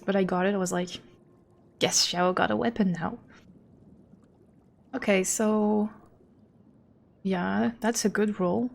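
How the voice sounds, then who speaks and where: A woman's voice: mid-range voice, fairly even intonation, takes it slowly, gravelly, pauses often. A woman game streamer chatting casually as she thinks aloud, rambling and a little self-deprecating. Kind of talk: casual livestream chat and commentary.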